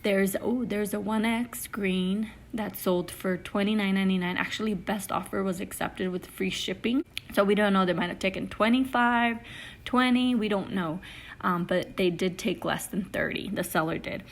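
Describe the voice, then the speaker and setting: A woman's voice: mid-range voice, moderate pace. A young woman with a Spanish accent, chatting as she explains her eBay reselling steps and checks in with "okay?". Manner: chatty, often adds "you know" and "okay?". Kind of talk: casual how-to vlog. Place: living room with a couch, indoors.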